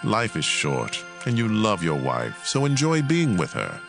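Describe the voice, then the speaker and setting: A man reading scripture as a dramatized audio Bible narration, in a measured and expressive way. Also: fairly low pitch, creaky, music in the background.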